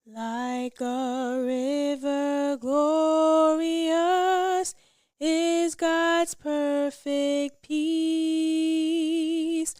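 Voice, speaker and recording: A woman's voice: sits high, little pitch movement, extremely slow, darkish tone, no echo, faint music behind. A woman singing a hymn. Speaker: hymn singer.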